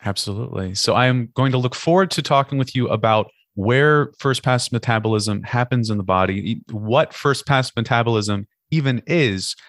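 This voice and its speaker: A man's voice: mid-range voice, moderate pace, hardly any pauses, no room sound, clean studio sound. A young man in his twenties, a graduate student hosting a podcast, reading an introduction and interviewing politely. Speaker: podcast host.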